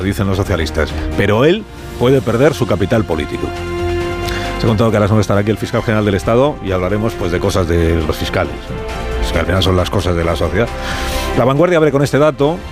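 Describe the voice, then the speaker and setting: A man's voice: fairly low pitch, lively intonation, fast, over music. A man with a Castilian Spanish accent hosting a morning radio press review, conversational, with wry asides and rhetorical questions. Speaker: radio host. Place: radio studio. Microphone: studio broadcast microphone.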